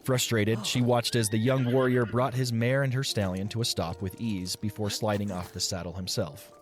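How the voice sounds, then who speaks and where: Lower-pitched man narrating a fantasy story. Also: fairly even intonation, moderate pace. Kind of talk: scripted storybook narration for an audio drama.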